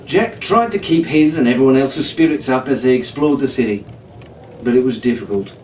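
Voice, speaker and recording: A man's voice: medium pitch, fairly quick, darkish tone. A man reading an adventure story aloud as scripted audiobook narration. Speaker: audiobook reader. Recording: echoey room, distant mic, faint hiss.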